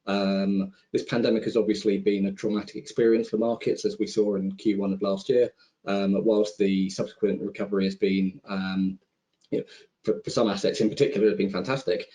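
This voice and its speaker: Low, mellow-voiced man, conversational. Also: level tone, fairly quick. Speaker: investment professional, panelist. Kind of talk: conversational roundtable commentary. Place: remote conference call.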